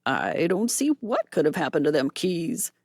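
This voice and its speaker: A woman's voice: low voice, very animated, normal speed, a little mellow, dry recording, clean studio sound, full character voice. A woman voicing a quarrelsome male robber underling who complains and snaps back defensively. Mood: complaining.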